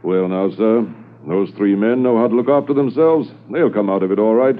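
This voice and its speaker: An actor playing a calm mounted police inspector in a 1950s radio drama, steady and matter-of-fact as he reminisces. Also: lowish voice, moderate pace, natural voice. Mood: reassuring. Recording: muffled 1950s radio recording.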